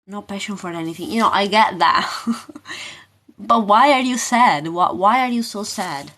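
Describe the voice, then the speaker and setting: A woman hosting a live tarot session, talking informally and haltingly to viewers, often asking questions and repeating her phrases. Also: mid-range voice, a bit bright.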